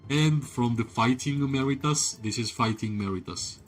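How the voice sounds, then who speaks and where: A man's voice: medium pitch, moderate pace, soft background music. A man streaming a video game, giving rambling, casual commentary and talking directly to his chat, often filling with "like" and tagging sentences with "right?". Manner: rambling, leans on "like" and tag questions "right?"; talks to chat viewers directly. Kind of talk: casual live-stream commentary while playing.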